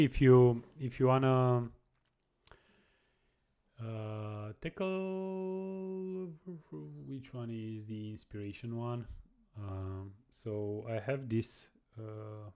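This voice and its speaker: A young man chatting casually on a live coding stream, halting and restarting his sentences as he answers viewers. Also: medium pitch, dark tone, clear, resonant tone. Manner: halting, many restarts and repeated words.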